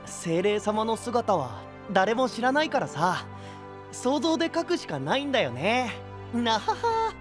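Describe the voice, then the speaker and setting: A man playing a cheerful, easygoing young guide to sea legends in an anime-style audio drama, explaining in a breezy, drawn-out way. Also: very high voice, huge pitch swings, over music, full character voice.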